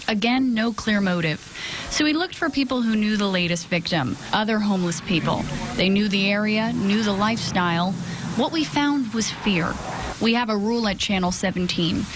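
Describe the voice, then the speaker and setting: A woman's voice: medium pitch, expressive, moderate pace, background noise. A woman narrating a scripted television news report as a reporter.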